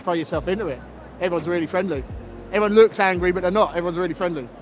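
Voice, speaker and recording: A man visiting a tattoo convention, talking loudly in a blunt, laid-back way and swearing casually. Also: high-pitched, very fast, faint music behind. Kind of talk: casual chat. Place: busy convention hall.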